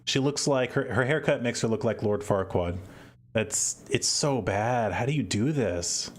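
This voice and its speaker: Man, podcast host, casual reaction talk, stop-start delivery, opinionated. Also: mid-range voice. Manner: halting, repeats words while thinking aloud; opinionated, exasperated.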